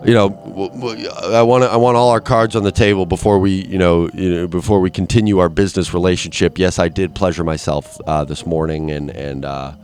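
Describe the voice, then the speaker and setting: A man's voice: sits low, steady tone, brisk, few pauses, darkish tone. A young man with a stuffed-up nose rambling through a crude, self-deprecating solo monologue, full of restarts and asides. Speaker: podcast host.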